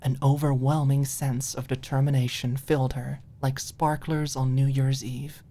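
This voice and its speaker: A woman narrating a story as a scripted audiobook, in a soft, expressive storytelling manner. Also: rumbling low, moderate pace.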